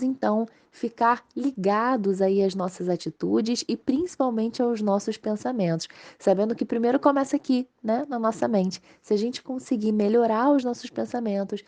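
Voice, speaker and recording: A Brazilian woman with a slightly hoarse voice from a mild cold, giving an informal, explanatory religious study talk to viewers. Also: mid-range voice, warm tone. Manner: explanatory, conversational, checking in with tag questions. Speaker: host of a spiritist study channel.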